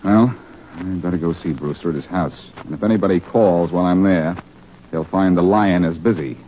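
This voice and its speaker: An actor playing a cocky, wisecracking amateur detective in a 1940s radio drama, breezy and sardonic. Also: male voice, low voice, moderate pace, natural voice. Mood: confident, scornful. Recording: dull, noisy 1940s radio recording.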